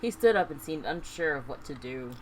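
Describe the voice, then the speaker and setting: A woman's voice: low-pitched, lively intonation, fairly quick, poor audio. A woman hosting a let's play, reading a game's narration aloud with casual commentary. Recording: faint background noise.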